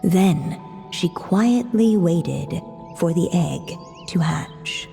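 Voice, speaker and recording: A woman voicing a calm female narrator who tells a storybook tale in a game, measured and gently teasing. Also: fairly low pitch, creaky, soft background music, heavily acted. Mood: storytelling. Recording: long hall reverb.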